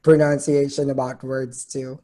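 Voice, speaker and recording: A man's voice: mid-range voice, little pitch movement, a little slow, warm tone, slight background noise. A Filipino man working as a nurse, joking about accents at work, with a Filipino accent. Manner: jokey, good-humored.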